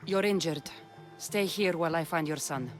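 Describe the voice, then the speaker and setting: An actress playing a tough, protective Greek mercenary in a video game, with a Greek accent and a direct, resolute manner. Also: female voice, deep voice, moderate pace, faint music behind, lightly acted. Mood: reassuring. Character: tough adult female Greek mercenary warrior, protective and defiant. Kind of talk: video game cutscene acting.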